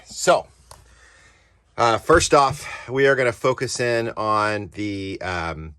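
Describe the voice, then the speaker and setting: A man's voice: on the low side, animated, slow, a bit bright, clean tone. Casual man explaining a hands-on install. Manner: informal, explanatory, addressing viewers as guys. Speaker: DIY off-road channel host.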